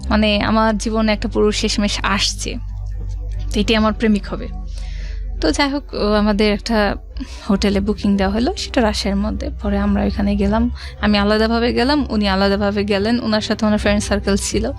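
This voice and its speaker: A woman's voice: medium pitch, fairly even intonation, fairly quick. A middle-aged woman telling her life story as a studio guest, conversationally, repeating and rephrasing as she goes. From Rajshahi, Bangladesh. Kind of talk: personal life-story narration. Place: radio studio. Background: music.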